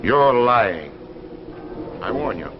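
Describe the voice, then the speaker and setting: An actor playing a stern, menacing alien leader with a curt, commanding manner in a 1950s TV drama. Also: male voice, mid-range voice, sing-song, slow. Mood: accusing. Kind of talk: 1950s television drama acting. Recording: muffled, faintly noisy 1950s TV recording.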